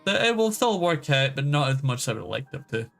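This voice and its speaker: A man's voice: mid-range voice, big pitch swings, a little fast, creaky, a bit bright. A man chatting casually as a game streamer, rambling and swearing freely as he goes. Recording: music playing behind the voice. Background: background game music.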